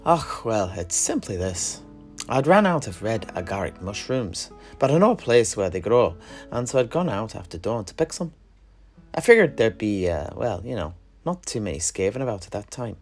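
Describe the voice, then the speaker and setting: A man's voice: fairly low pitch, big pitch swings, moderate pace, soft background music. A man playing a teasing, roguish alchemist in a fantasy audio drama, spinning tales in a colourful, theatrical way. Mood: wry storytelling. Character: roguish, teasing male alchemist and rat slayer.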